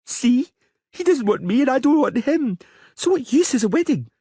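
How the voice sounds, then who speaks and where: A male narrator voicing a headstrong, sniffly young princess who is petulant, demanding and quick to sulk, with a husky, whiny tone. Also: very high-pitched, big pitch swings, mellow, no echo, studio quality, voice pushed up, full character voice. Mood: indignant. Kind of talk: audiobook character voice.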